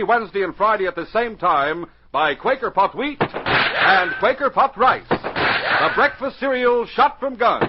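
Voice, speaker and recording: A male announcer from 1940s radio with a fairly high, husky voice. His delivery is dramatic and emphatic, swinging widely in pitch. The recording sounds dull and dated. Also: very noisy. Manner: dramatic, emphatic, carefully enunciated. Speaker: announcer and narrator.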